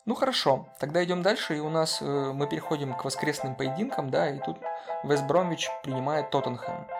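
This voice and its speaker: A man's voice: a little high, a little fast, creaky, music in the background. A man co-hosting a casual football podcast, talking with many fillers and restarts. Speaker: podcast co-host.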